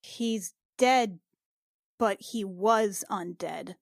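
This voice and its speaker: A woman playing a tabletop fantasy game, improvising in character in a halting way and often repeating herself. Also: medium pitch, vocal fry, dry recording, studio quality.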